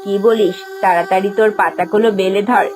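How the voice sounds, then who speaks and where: A woman's voice: mid-range voice, steady tone, a little fast, over music, lightly acted. A woman voicing a caring river plant spirit in a cartoon, speaking urgently. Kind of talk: Bengali cartoon dubbing.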